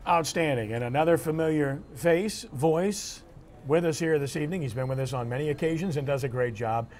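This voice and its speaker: Male sports show host, slightly high voice. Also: a little fast, darkish tone. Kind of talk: conversational sports show hosting.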